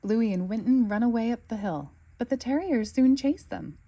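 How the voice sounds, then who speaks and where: A woman reading a children's storybook aloud with expressive narration. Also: fairly high pitch, normal speed, dull audio. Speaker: storybook reader.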